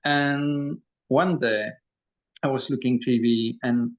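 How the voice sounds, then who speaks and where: A man in his forties from Belgium with a French accent, a podcast guest and energy healer, talking in a halting way with many pauses and fillers. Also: medium pitch, fairly even intonation. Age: 40s. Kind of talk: casual interview talk. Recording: dull lo-fi audio.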